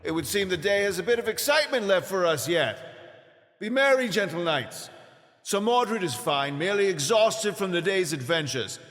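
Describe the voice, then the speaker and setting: A man's voice: sits high, big pitch swings, moderate pace, fairly bright tone. An actor playing a commanding, forcedly merry male king in a theatrical audio drama. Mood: forced cheer. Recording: long hall reverb, faint music.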